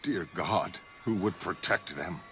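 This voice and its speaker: Despairing castaway narrator played by a man, lowish, solemn, literary. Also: measured pace, sharp tone, soft background music. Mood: anguished. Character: anguished shipwrecked mariner, gothic storyteller. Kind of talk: dramatic first-person story narration.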